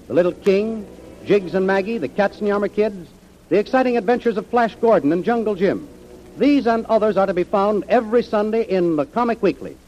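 High-voiced dramatic 1930s radio announcer, a man. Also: a little mellow, a little hiss or hum. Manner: dramatic, declamatory. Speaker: announcer and narrator. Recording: muffled old radio recording.

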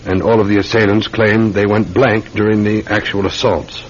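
An actor playing a polite, methodical London police detective with a British accent, deferential and musing in an old-time radio drama. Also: male voice, lowish voice, flat delivery, moderate pace, husky. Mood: polite, thoughtful. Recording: dull, muffled classic radio recording.

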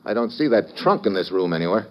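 An actor playing a wisecracking, suspicious American government agent in a vintage radio detective drama, slangy and sardonic. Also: male voice, on the low side, lively intonation, quick pace, heavy background noise, natural voice. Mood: suspicious, pressing. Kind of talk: 1950s radio detective drama, first-person narration and dialogue. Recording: dull vintage radio recording, music behind.

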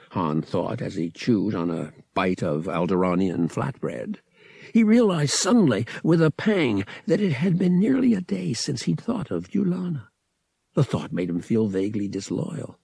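A man reads scripted fiction as a dramatic audiobook narrator. His delivery is unhurried with wide swings of pitch, and some gravel sits in the voice. The recording is dry. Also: very animated, mellow, no echo.

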